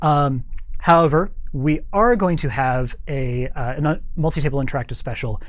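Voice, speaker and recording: A man speaking as a guest in a studio chat, explaining things in an animated way. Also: on the high side, normal speed. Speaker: guest, game organized play developer.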